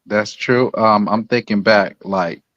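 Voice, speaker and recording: Male co-host, lower register, slow delivery, agreeable and casual, short affirmations, dull lo-fi audio. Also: a bit bright, no room sound. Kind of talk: casual chat.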